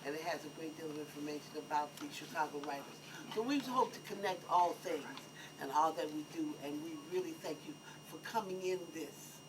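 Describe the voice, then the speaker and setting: An earnest man welcomes an audience in a museum, rambling a little with fillers and restarts. He sounds far from the mic, and clear room noise sits behind his voice. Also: on the high side, fairly quick, hardly any pauses. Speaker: museum host. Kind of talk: welcoming speech. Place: museum hall.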